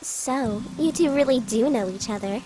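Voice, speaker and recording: Woman acting a haughty, high-voiced schoolgirl rival. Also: moderate pace, mellow, slight background noise, close to an everyday voice, poor audio. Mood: mocking. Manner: sardonic, mock-polite. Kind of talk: anime dub acting.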